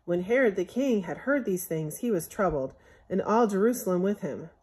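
A woman reading a Bible story aloud from a picture book in measured, scriptural phrasing, as a Sunday school storyteller. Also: mid-range voice, lively intonation, a little mellow.

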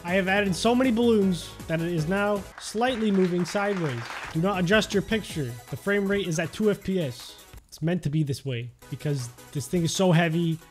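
A man's voice: high voice, moderate pace, hardly any pauses. A young adult man giving casual, joking gameplay commentary in a playful, exclamatory, mock-serious way. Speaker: gaming video host. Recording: music behind the voice.

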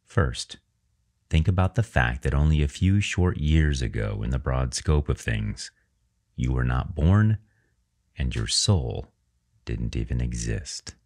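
Very deep-voiced man guiding a meditation. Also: animated, a little mellow. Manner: slow, measured, reverent delivery with pauses. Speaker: guided meditation presenter. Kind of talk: guided meditation narration.

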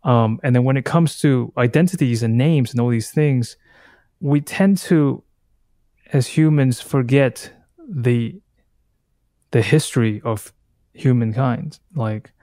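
A man in his thirties from Taiwan, a native Taiwanese speaker, talking reflectively as an interview guest in a halting, thoughtful way, often circling back to rephrase. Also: medium pitch, mellow, dry recording, studio quality. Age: 30s. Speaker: podcast guest, artist.

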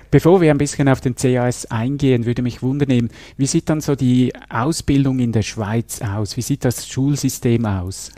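A man hosting a security podcast, asking short, brisk questions. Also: mid-range voice, dark tone, no room sound. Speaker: podcast host. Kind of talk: interview hosting.

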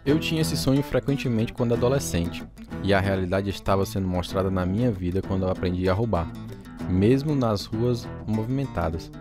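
A man's voice: on the low side, fairly quick, over music, lightly acted. A man playing a grown man soberly recalling his youth, narrating in a radio drama. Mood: reflective. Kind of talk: scripted first-person narration.

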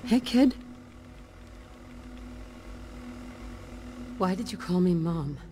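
Woman acting a cold, low-voiced commander. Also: animated, moderate pace, dark tone, slight background noise, close to an everyday voice. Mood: cold, dismissive. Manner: blunt, unsentimental. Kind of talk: dramatic video game dialogue.

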